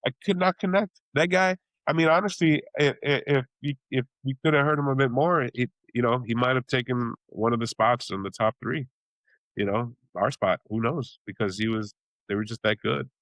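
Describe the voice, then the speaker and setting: A man from Chicago, a blues singer and bandleader, talking casually in an interview, rambling with many restarts and acting out reactions in sudden high exclamations. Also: normal speed, fairly bright tone, no echo, rough recording.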